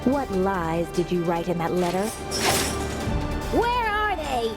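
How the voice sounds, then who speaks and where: A woman playing a deadly, haughty reaper warrior in a fantasy game, speaking formally with measured, cold threat. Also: medium pitch, wildly swooping pitch. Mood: cold, threatening. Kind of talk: dramatic fantasy game acting. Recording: loud music under the voice.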